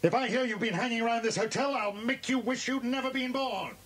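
An actor playing a hostile, bullying hotel guest with a gruff, snappish British manner of speech in a children's audio drama. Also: male voice, extremely high pitch, brisk, a bit bright, heavily acted. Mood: irritated, hostile.

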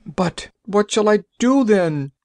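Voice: medium pitch, animated, slow pace, a little mellow, dry recording, pitched above the performer's own voice. A storyteller voicing a defeated male pirate chief who groans and complains. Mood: pleading.

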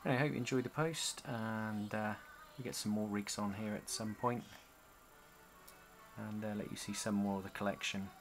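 A man giving a casual, halting walkthrough as a hobbyist showing off his gear. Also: fairly low pitch, fairly even intonation, soft background music. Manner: halting, pausing between short phrases. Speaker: CB radio hobbyist presenting a product demo. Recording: home camcorder with noticeable background noise.

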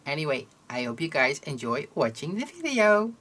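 A Dutch woman with a Dutch accent hosting a crochet tutorial in English, her second language, talking casually and pausing often with ums as she searches for words. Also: low voice, big pitch swings, fairly bright tone, a little hiss or hum. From Netherlands. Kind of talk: casual instructional talk. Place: indoors at a table, hands over crafts.

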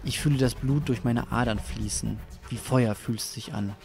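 A man's voice: medium pitch, normal speed, vocal fry, darkish tone, lightly acted. An actor playing a tormented, disoriented man, narrating his nightmare dramatically in an audio drama. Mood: anguished, brooding. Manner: brooding, dramatic. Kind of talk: scripted first-person audio drama narration. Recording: music under the voice.

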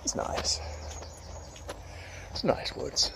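Man, vlogger, rambling woodland walk, phone mic, loud traffic noise behind. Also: very slow. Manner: rambling, relaxed, thinking aloud. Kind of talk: casual walking commentary. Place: woodland outdoors. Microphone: handheld phone.

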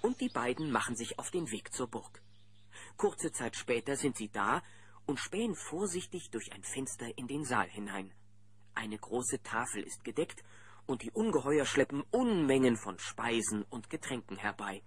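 A man narrating a German children's audio drama as a friendly storyteller, with a husky voice. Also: mid-range voice, sing-song, normal speed. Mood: engaged storytelling.

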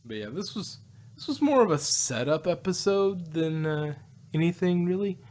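A man in a casual review chat, talking in a halting, rambling way as a panelist. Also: high-pitched, lively intonation, a little mellow. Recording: clear background noise.

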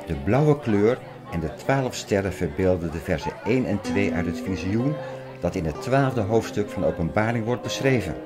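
An actor playing an obsessive, agitated conspiracy theorist in an audio drama, lecturing earnestly and insistently. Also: male voice, low-pitched, expressive, moderate pace. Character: obsessive, agitated male conspiracy theorist expounding apocalyptic prophecies. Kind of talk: Dutch audio drama acting. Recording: music behind the voice.

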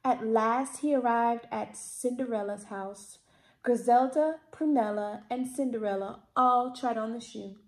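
A woman in her thirties reads a children's picture book aloud expressively, with deliberate, theatrical emphasis. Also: on the high side, darkish tone. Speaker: library storytime reader. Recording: roomy indoor echo.

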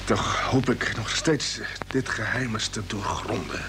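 An actor playing an earnest, troubled space commander, pressing urgently and emphatically, in old Dutch radio drama style. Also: male voice, lowish voice, unhurried, natural voice. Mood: wary. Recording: noisy vintage radio play recording.

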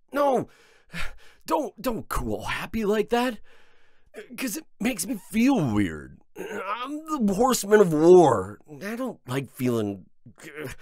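A man's voice: sits high, a little mellow, no echo, clean studio sound, heavily acted. A man playing a brash, macho himbo horseman of war in a comedy roleplay, crude and dude-ish, easily flustered. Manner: dude-ish, crude, stumbling when emotional. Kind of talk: boyfriend ASMR comedy roleplay.

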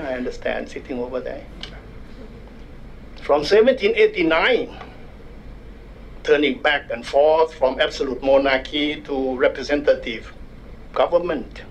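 An older man with a Thai accent, speaking at a desk microphone as a guest speaker at a university public forum, wry and measured with self-deprecating humor. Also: medium pitch, a little hiss or hum, low-quality recording. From Thailand. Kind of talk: public lecture. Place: university conference room.

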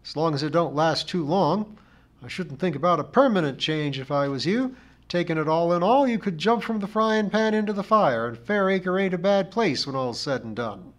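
A man's voice: a little high, expressive, normal speed, voice pushed up, lightly acted. A man voicing a belligerent, self-pitying village cleaning woman with a rural English accent, declaiming her grievances in a storybook reading. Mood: grudging, warning. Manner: declamatory, rhythmic, dropped g's, indignant.

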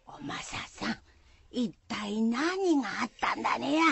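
A woman's voice: a little high, lively intonation, a little slow, creaky, slightly rough, exaggerated voice. An actress playing a chatty, curious village woman in a radio folktale, with folksy country speech. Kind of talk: radio drama acting.

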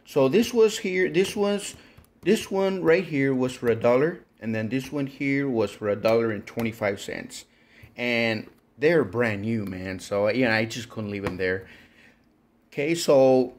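A man's voice: mid-range voice, slight background noise. A man hosting a casual reseller vlog, rambling in a folksy way and addressing viewers as guys. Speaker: online reseller and channel host. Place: indoor workshop.

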